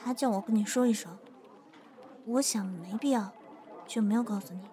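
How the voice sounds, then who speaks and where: A woman's voice: mid-range voice, normal speed, dark tone, close to an everyday voice. A woman acting a touchy, loyal young office assistant in an audio drama, blunt and defensive. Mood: reluctant.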